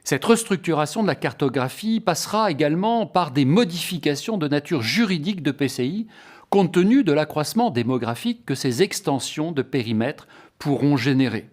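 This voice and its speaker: Formal male lecturer, higher-pitched, lively intonation. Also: moderate pace. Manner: formal, didactic, emphatic enumeration. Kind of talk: scripted explanatory lecture.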